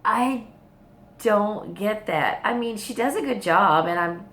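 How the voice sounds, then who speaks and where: A woman's voice: mid-range voice, animated, takes it slowly, fairly bright tone, roomy sound. A woman chatting casually to viewers as a book vlogger, rambling hesitantly, often trailing off and backtracking. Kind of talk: casual rambling video chat. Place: hospital room.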